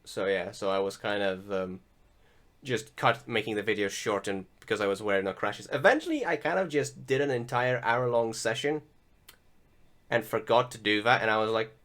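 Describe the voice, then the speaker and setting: A man giving casual, rambling voice-over commentary on recorded gameplay, often halting and restarting his sentences. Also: lowish voice, lively intonation, fairly bright tone. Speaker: gaming video host. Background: music behind the voice.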